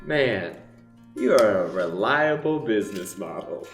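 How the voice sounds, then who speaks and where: A blunt, folksy customer and pitchman, played by a man, speaks with very animated pitch in an improvised radio ad. The room is echoey. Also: a little slow, over music. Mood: hearty. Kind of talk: improvised comedic radio play. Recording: roomy echo.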